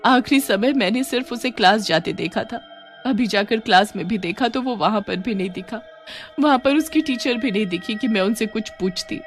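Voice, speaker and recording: A woman's voice: on the high side, moderate pace, soft background music, close to an everyday voice. A woman playing a worried mother in a horror story, anxious and pleading as she speaks. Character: worried mother searching for her missing son.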